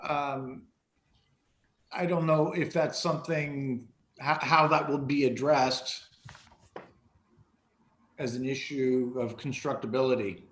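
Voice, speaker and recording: A man's voice: medium pitch, steady tone, slow pace, long pauses, a bit bright. Male commissioner in an echoey room. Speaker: planning commissioner. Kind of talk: asking questions at a public meeting.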